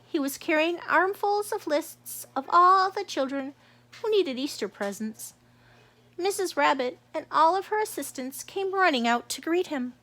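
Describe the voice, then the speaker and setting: A woman's voice: very high-pitched, lively intonation, measured pace, sharp tone. A woman narrating a children's storybook as a read-aloud. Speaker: storybook reader.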